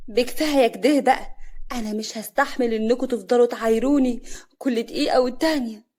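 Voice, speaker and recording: Woman playing wronged young village wife, high voice, indignant, plaintive, Upper Egyptian accent, audio story. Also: fast talker, darkish tone, close to an everyday voice. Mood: defiant. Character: hurt but defiant young village wife.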